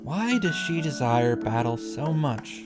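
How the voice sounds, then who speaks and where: A man's voice: medium pitch, lively intonation, unhurried. A man giving blunt, mocking, sweary reaction commentary on a visual novel. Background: game music.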